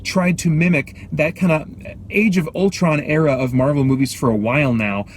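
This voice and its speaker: A man with a voice a little higher than average hosts a casual movie review. He speaks off the cuff, haltingly, often stepping aside from his point. He is recorded in a car, with faint noise behind him. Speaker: film reviewer and host. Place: inside a car. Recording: light background noise inside a car.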